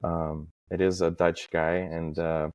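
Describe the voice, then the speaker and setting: A man in his thirties from Estonia, talking as a podcast guest in a halting way, with many fillers and restarts. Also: low voice, little pitch movement, a little mellow, no echo. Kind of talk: casual interview talk.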